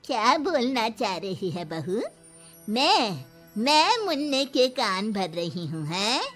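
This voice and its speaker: A woman's voice: fairly high pitch, big pitch swings, takes it slowly, fairly bright tone, faint music behind, exaggerated voice. A woman voicing a scheming old grandmother-in-law in a cartoon story, needling and taunting with sly scorn. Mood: indignant. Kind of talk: Hindi cartoon moral story.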